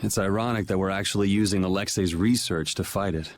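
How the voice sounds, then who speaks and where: A man's voice: low-pitched, quick pace, close to an everyday voice. A man voicing a blunt, self-assured young man in a video game dub, with a dry, casual delivery. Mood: dry, resigned. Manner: dry, casual, matter-of-fact.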